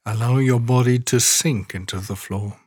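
A man guiding a scripted relaxation meditation in a calm, soothing, measured way, leaving long pauses. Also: mid-range voice, slightly rough, no room sound, studio quality. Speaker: meditation guide, podcast host. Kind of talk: guided yoga nidra relaxation meditation, scripted narration.

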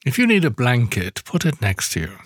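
A man leading a guided yoga nidra meditation, giving soothing instructions slowly with long pauses. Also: medium pitch, wildly swooping pitch, breathy edge, no echo, clean studio sound.

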